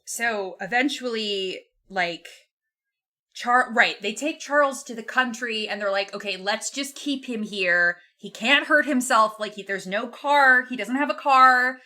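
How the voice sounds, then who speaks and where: A young woman co-hosting a podcast, retelling a book casually in an animated, informal, sweary way. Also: a little high, unhurried. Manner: animated, informal and sweary, acts out quotes in passing. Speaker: podcast co-host.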